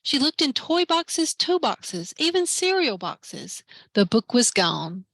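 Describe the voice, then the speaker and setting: A woman librarian reading a children's picture book aloud at story time, lively and expressive. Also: high-pitched, takes it slowly, no room sound. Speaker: library branch manager, story time reader.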